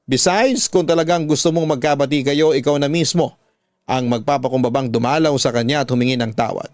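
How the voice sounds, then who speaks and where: A man's voice: mid-range voice, brisk, no echo, studio quality. A man with a Filipino accent, a radio drama host reading out a listener's letter as a dramatic story and giving advice.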